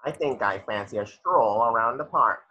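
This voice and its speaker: A man playing a vain, snooty male cartoon squid with a husky, drawling, pompous delivery on slightly dull audio. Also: mid-range voice, animated, moderate pace, pushed and bright, a little hiss or hum. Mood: haughty. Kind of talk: animated cartoon table read.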